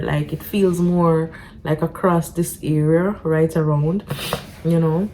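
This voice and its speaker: Jamaican woman vlogging from home, low voice, stuffed up, stops and restarts a lot. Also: mellow, a little hiss or hum, poor audio. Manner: halting, with frequent restarts and fillers. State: stuffy, congested. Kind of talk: casual talk to camera.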